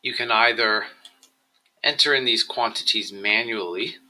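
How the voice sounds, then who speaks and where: Man, slightly low pitch, very bright sharp tone, software tutorial presenter, measured step-by-step screencast narration. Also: rough recording.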